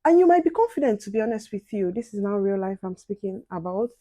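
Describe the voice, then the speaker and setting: A soft-spoken young woman chatting casually to viewers while doing her makeup. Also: medium pitch, big pitch swings, fast, dry recording. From outside the UK. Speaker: beauty and advice vlogger.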